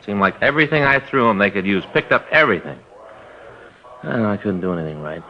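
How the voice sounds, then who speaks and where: An actor playing a put-upon police detective who tells a rambling, aggrieved story, in 1950s radio drama style. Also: male voice, lowish voice, expressive, quick pace. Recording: dull old radio broadcast.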